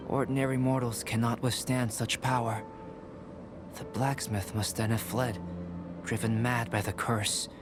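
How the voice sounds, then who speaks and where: Man acting a calm scholarly young alchemist, formal and measured. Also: fairly low pitch, close to an everyday voice. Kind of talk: video game dialogue acting.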